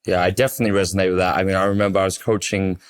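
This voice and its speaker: An English man with a fairly low voice talks through his coaching views in a relaxed interview. He speaks fluently and with emphasis, doubling words when he wants to stress a point. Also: very fast, smooth. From England. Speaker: basketball coach, interview guest. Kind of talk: casual conversational interview.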